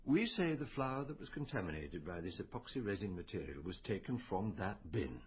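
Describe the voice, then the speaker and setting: A muffled radio drama recording of a brooding male medical detective. His husky voice moves in lively swings as he probes and muses deliberately, with a poetic bent. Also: low voice, full character voice. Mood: probing. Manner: questioning, deliberate, musing. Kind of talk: naturalistic radio drama acting.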